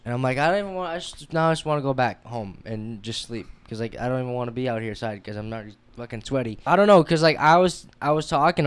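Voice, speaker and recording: A man's voice: medium pitch, clean tone. An eighteen-year-old American man hosting a casual podcast chat, speaking informally with slang and swearing. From Texas, United States. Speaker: podcast host.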